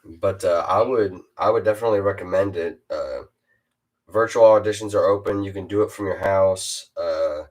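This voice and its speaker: A teenage boy with a very deep voice, hosting a casual chat with a fellow rapper and hesitating often. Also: moderate pace, darkish tone, rough recording. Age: teens. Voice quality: super deep voice. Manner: hesitant, lots of uh and restarts. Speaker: host interviewing a fellow rapper.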